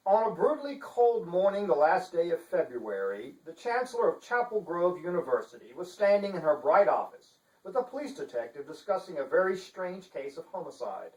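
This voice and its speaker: A man's voice: high-pitched, sing-song. Pompous, theatrical man in an echoey room. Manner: pompous, theatrical, deliberate enunciation. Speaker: author reading his novel. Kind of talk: theatrical dramatic reading. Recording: echoey room, distant microphone.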